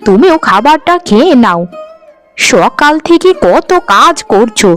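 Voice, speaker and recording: A woman voicing a gentle, dutiful young bride in a fairy-tale cartoon, speaking meekly and politely. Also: on the high side, very animated, measured pace. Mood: polite, caring. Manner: meek, polite, pleading. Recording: background music under the voice.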